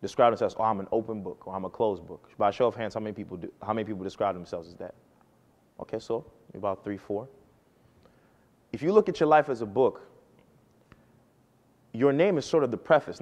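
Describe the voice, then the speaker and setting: A man's voice: fairly low pitch, expressive, a little fast, pauses often, long reverb tail. A man in his early twenties giving a personal storytelling speech to an audience, earnest and emphatic, rising and falling for effect. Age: early 20s. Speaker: speaker giving a talk to an audience.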